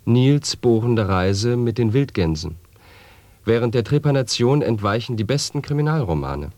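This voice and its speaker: A man performing a solemn monologist in an avant-garde radio play, reciting absurd wordplay in a measured, declamatory way. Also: mid-range voice, expressive, a little mellow, no room sound, close to an everyday voice. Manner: deliberate, measured, declamatory delivery.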